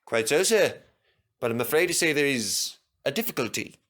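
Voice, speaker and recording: A man's voice: medium pitch, big pitch swings, moderate pace, fairly bright tone. A man voicing an obliging railway inspector in a children's story, polite and deferential. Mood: apologetic.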